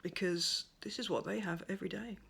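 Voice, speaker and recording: A woman giving a gentle, thoughtful monologue at home by a window, her voice soft and at times close to a whisper. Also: medium pitch, fairly even intonation, brisk, gravelly, slightly rough. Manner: soft-spoken, thoughtful, drifts into near-whispers. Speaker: pagan speaker sharing reflections. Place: at home by a window over a garden.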